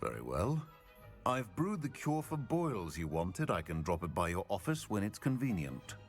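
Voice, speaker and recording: An actor playing a discreet, deferential potions professor in a video game, speaking with careful hesitation. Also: male voice, low-pitched, sing-song, moderate pace, faint music behind. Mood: guarded, deferential.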